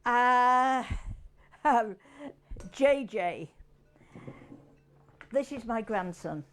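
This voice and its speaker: A woman's voice: on the high side, animated, drawn-out words, a bit bright, a little hiss or hum. An older woman with a Yorkshire accent, hosting a casual cooking demonstration in her home kitchen, chatting with rambling asides as she works. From England. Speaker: cooking show host.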